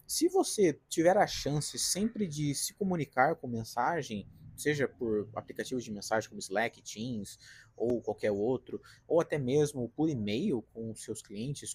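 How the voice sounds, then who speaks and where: A Brazilian man with an interior-São Paulo accent talks straight to his audience in a relaxed, direct vlog, often ending his sentences with little check-in tags. His mid-pitched voice has a slightly husky edge, and the sound is close and dry, with little room around it. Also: no room sound. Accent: Paulista, from the interior of São Paulo. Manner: conversational, direct, frequent "né?" and "tá?" tags. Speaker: career vlogger, designer. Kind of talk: casual advice vlog to camera. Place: apartment balcony.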